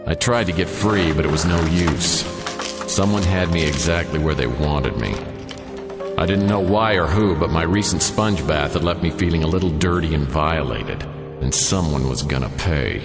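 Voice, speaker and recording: A hard-boiled detective narrating a radio play. His voice is very deep and dry with sardonic humor, the sound is reverberant, and music plays clearly behind him. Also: normal speed. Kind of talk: noir radio drama narration.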